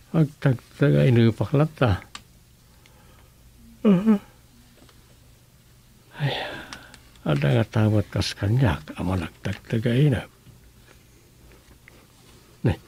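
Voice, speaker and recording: A lovesick comic villager in a radio serye. His voice is fairly high and gravelly. He mutters and pleads in a plaintive, whiny way. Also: dark tone, full character voice. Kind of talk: radio comedy drama acting.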